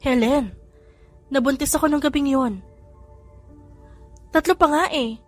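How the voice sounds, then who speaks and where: A woman's voice: high-pitched, a little slow, soft background music, voice pushed up. Earnest young mother, read by a woman.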